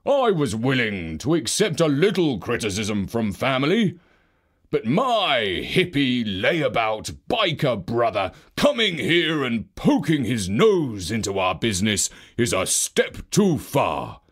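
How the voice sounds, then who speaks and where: A man plays an old, bossy gift-giver in a children's storybook, gruff and indignant. The voice is husky and scolds in an animated, swooping way. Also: medium pitch, slow pace, full character voice.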